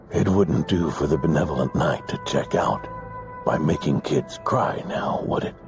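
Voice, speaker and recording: An actor playing a gentle, fatherly old knight near death, speaking softly and warmly in a game cutscene. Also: extremely low pitch, slow pace, vocal fry, over music, exaggerated voice. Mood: gently coaxing. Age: older.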